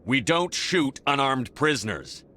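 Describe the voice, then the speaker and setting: An actor playing a steady, commanding military officer in a video game, firm, direct and controlled. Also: male voice, mid-range voice, a little slow, a little hiss or hum. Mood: stern.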